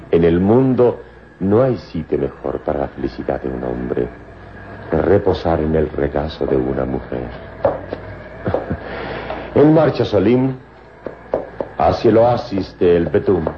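An extremely deep male voice plays a noble adventure hero of a radio serial. He is calm and commanding, with formal, poetic delivery. The old recording is muffled and noisy. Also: full character voice. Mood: warm, amused. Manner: formal, measured, poetic phrasing.

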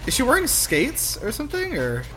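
A man's voice: very high-pitched, wildly swooping pitch, fairly quick. Man chatting casually, music behind him. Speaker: podcast co-host. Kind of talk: casual film-commentary chat.

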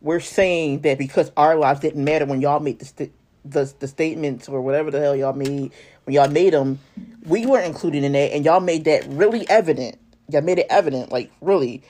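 An American podcast speaker in casual conversation, earnest and a little halting, repeating phrases to press a point. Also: mid-range voice, warm tone.

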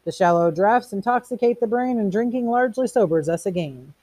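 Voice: mid-range voice, lively intonation, a little fast, dark tone, no echo, pitched above the performer's own voice, lightly acted. A reader voicing a mocking, erudite male fire captain who declaims quotations theatrically in a storybook reading. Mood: taunting. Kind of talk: audiobook character reading.

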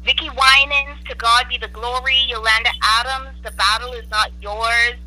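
A woman from the Bahamas, a guest speaking in an interview in an earnest, measured way with church phrases. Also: a little high, fairly even intonation, sharp tone, poor audio. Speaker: guest, church educator and gospel soloist. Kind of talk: interview conversation.

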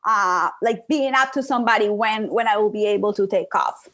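A woman's voice: medium pitch, fairly quick, a bit bright. A young woman with a Colombian accent and Spanish as her first language, telling her own story as a guest in a conversational interview. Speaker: podcast guest, digital marketing business owner.

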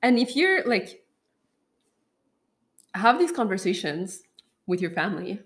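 Woman, mid pitch, podcast co-host, casual chat, relaxed storytelling. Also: unhurried. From part Moroccan family.

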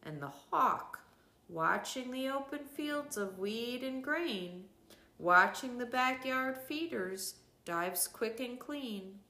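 An older woman giving a measured, expressive read-aloud of literary nature writing, as a scripted narration. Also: a little high, roomy sound. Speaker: reader of a nature devotional book.

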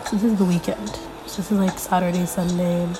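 A young woman hosting a casual solo podcast, a little congested from being sick, rambling with lots of fillers as she thinks out loud. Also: lowish voice, flat delivery, a little fast, over music, poor audio. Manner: rambling, filler-heavy, thinking aloud while flipping pages. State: congested from a recent illness. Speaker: podcast host.

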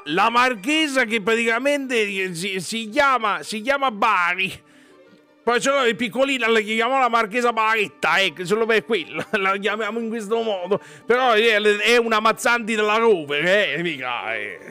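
A man's voice: sits high, normal speed, pushed and bright, soft background music. A man playing a pompous, down-at-heel duke in a comedic costume radio drama, wordy, giggly and fussily insistent. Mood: chatty, fussy. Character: pompous, foul-mouthed, hospitable down-at-heel male duke.

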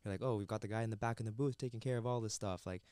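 A man from Canada, a chef and entrepreneur, chatting casually as a podcast guest in a studio, relaxed and full of fillers. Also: fairly low pitch, rapid-fire, clean tone, no echo. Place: recording studio. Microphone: headset mic and headphones.

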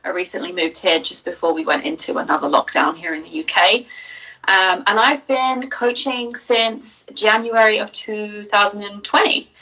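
A woman's voice: mid-range voice, moderate pace, bright, forceful tone, distant mic, poor audio. A young woman from Jersey, a business coach, chatting openly in an interview and telling her story with plenty of fillers. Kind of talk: casual interview answers.

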